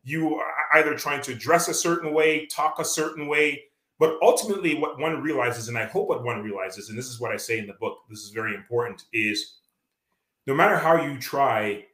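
A man's voice: medium pitch, fairly quick, a bit bright, echoey room, low-quality recording. A Canadian man with a Canadian accent, an author speaking earnestly in an interview, with many fillers and restarts. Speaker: author guest. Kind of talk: reflective interview talk.

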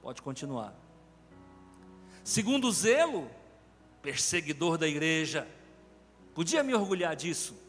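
High-pitched, animated Brazilian preacher. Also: male voice, a little slow, long pauses. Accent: Brazilian Portuguese. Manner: emphatic, animated preaching to a congregation. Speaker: pastor. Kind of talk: sermon. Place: large church sanctuary. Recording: reverberant church hall with faint music.

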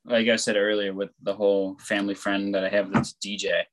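Laid-back young man with a low voice. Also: level tone, a little fast. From born on the West Coast, raised on the East Coast of the US. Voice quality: loud voice. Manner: rambling, laid-back, swears freely. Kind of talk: casual chat.

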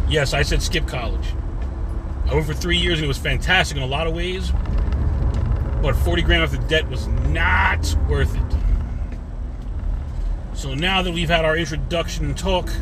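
Man in his forties talking from his car. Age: 40s. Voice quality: deep voice. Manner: rambling, goes off on tangents, conversational. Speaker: podcast host. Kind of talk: casual solo podcast monologue. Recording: car noise in the background. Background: car and road noise.

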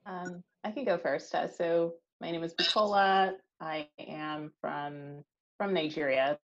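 A woman with an American accent, speaking as a discussion panelist in a measured, conversational way. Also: low voice, steady tone. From United States. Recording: slightly dull lo-fi audio.

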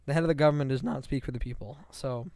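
A man's voice: mid-range voice, steady tone, rapid-fire, a little mellow, dry recording, clean studio sound. A man from Iran, whose first language is Farsi, co-hosting a casual podcast and rambling with lots of fillers and swearing. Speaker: podcast co-host.

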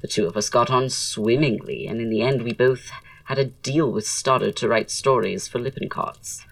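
A woman's voice: extremely low pitch, moderate pace, creaky, no echo, far from the mic. A woman acting in an audio drama as a flamboyant, witty, teasing male Victorian playwright, with a theatrical drawl and arch, florid wording. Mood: reminiscing, grand.

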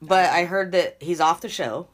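Woman, low voice, raspy from a cold, frank, casual podcast chat. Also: normal speed. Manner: frank, opinionated, laughs often. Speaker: podcast co-host.

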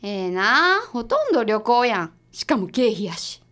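Actress doing a blunt skeptical kansai office lady, high pitch, big swings in tone, radio comedy drama. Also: big pitch swings, sharp tone. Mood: brisk, businesslike. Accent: Kansai Japanese. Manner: blunt, brisk retorts. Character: sharp-tongued, skeptical woman detective's assistant.

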